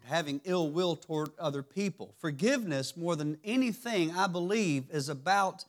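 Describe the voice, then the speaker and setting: A man with a raspy voice giving a church teaching sermon, halting and restarting often. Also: on the high side. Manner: halting, many restarts and fillers. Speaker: lay preacher. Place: church sanctuary.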